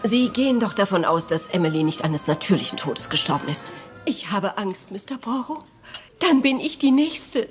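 A woman's voice: medium pitch, big pitch swings, moderate pace, soft background music, natural voice. An actress playing an anxious, indignant English lady in a retro radio mystery, dramatic and emotional. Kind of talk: retro German detective radio play acting.

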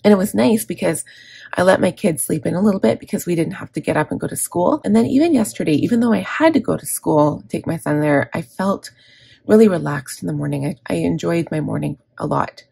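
Gravelly, low-voiced woman chatting casually. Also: animated, a little fast, darkish tone, no echo. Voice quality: gravelly, scratchy. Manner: rambling, self-correcting, candid. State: scratchy, gravelly throat from inhaler. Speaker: planner vlogger. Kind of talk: casual plan-with-me chat. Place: indoors at a desk.

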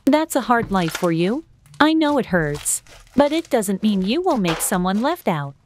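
A woman's voice: mid-range voice, very animated, a little slow, slight background noise. A woman playing an insecure but friendly girl gamer in an amateur scripted storytime drama, speaking earnestly. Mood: wounded. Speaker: voice actor in an animated storytime drama. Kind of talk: scripted amateur roleplay dialogue.

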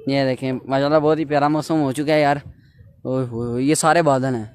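A man's voice: mid-range voice, steady tone, brisk, smooth, no echo. A teenage young man with a Pakistani accent, narrating a casual travel vlog into a handheld phone, often repeating himself as he addresses viewers. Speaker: vlogger. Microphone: phone at arm's length. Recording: noisy handheld phone recording, ambient sound.

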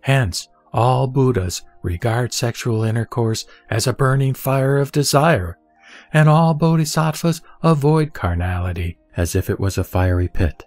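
A man's voice: mid-range voice, unhurried, darkish tone, no room sound. Man reciting scripture over music, dry sound. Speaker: sutra reciter. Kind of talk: solemn scripted scripture narration. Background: background music.

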